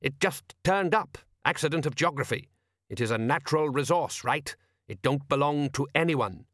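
A man's voice: fairly high pitch, lively intonation, moderate pace, fairly bright tone. A man voicing a stubborn, indignant working-class fisherman father who grumbles and blusters in an audiobook story. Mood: indignant, blustering. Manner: blustering, defensive, colloquial.

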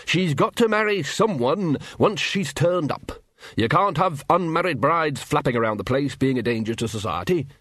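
A man acts out a fussy, pompous male academic from a fantasy storybook. He is eager and emphatic, his pitch raised and full of lively swings. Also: normal speed, breathy edge, no room sound, studio quality.

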